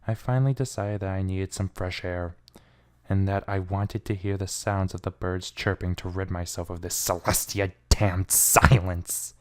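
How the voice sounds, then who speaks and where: A man's voice: sits low, steady tone, normal speed. A man giving a brooding, theatrical first-person reading of a fan fiction story. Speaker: amateur fan fiction reader.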